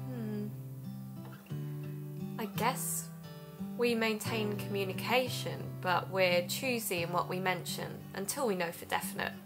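A woman's voice: mid-range voice, measured pace, pauses often, over music, lightly acted. A woman playing a thoughtful, cautious podcaster turned amateur sleuth in a conversational audio drama.

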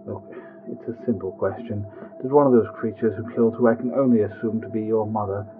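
An actor playing a gruff, wry sergeant in an audio drama, dry and commanding through a gas mask radio filter. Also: male voice, on the low side, steady tone, brisk. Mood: stern, impatient. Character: gruff, wry male sergeant of elite soldiers. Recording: tinny narrow radio sound, music behind.

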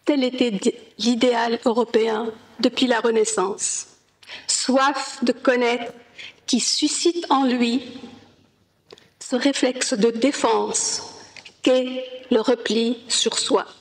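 A woman presenting an academic paper at a conference, reading in a slow, measured way with careful pauses. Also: on the high side, steady tone, poor audio. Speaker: conference speaker. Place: conference hall.

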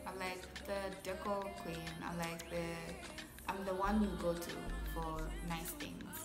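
A young woman chats to her phone camera from her bedroom, rambling with lots of fillers and easy laughs. Her voice sits fairly low for a woman, and clear music plays underneath. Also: flat delivery, a little slow. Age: young adult. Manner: chatty, filler-heavy, laughs often. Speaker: vlogger. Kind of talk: casual chat to camera. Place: bedroom at home, indoors. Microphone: phone or camera at arm's length.

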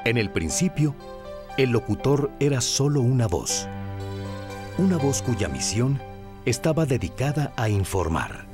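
A man's voice: medium pitch, sing-song, unhurried, over music. A man reading scripted narration as a documentary narrator.

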